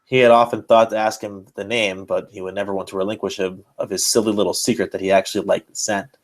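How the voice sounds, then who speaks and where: A man's voice: fairly low pitch, level tone, fast talker. A man hosting a podcast, giving a mock-serious dramatic reading of fan fiction. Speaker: podcast host.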